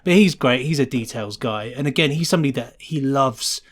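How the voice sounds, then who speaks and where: A man's voice: mid-range voice, expressive, fairly quick, noisy background. Enthusiastic man chatting casually. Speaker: guest sound designer. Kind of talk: casual podcast interview.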